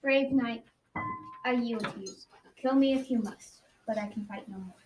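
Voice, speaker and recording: A woman's voice: a little high, moderate pace, recorded from a distance, heavy background noise, natural voice. A teenage girl playing a proud, valiant old king in a school radio drama, with formal, archaic speech. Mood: defeated.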